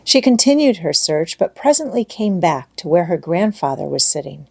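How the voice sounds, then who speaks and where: A woman reading a children's classic aloud as scripted storybook narration, in a measured, expressive manner. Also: fairly low pitch, vocal fry, slightly rough. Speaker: audiobook narrator.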